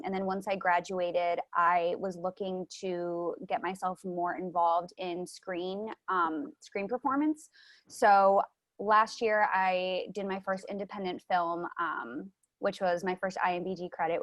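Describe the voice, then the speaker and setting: A young American actress introducing herself in casual conversation. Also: female voice, fairly low pitch, fairly bright tone, dry recording. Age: young adult.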